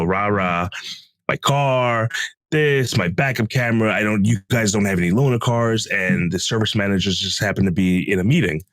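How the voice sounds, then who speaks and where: A man co-hosting a podcast, telling stories in a relaxed, deep, calm voice with lots of fillers, recorded at home. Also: dry recording. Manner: relaxed storytelling with many fillers. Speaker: podcast co-host. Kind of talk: casual podcast chat. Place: home closet room.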